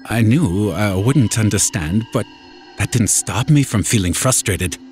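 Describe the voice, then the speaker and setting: A man's voice: on the low side, expressive, unhurried, a little mellow. A man narrating a first-person horror story in a dramatic, scripted storytelling style. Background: music.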